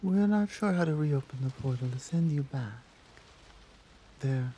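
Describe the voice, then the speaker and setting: Slow, husky, soft-spoken tigress monk acted by a woman. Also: sits low, exaggerated voice, poor audio. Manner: hesitant, measured, confiding. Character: gentle, earnest tigress monk mentor, caring and reassuring. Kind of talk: ASMR roleplay, soft-spoken.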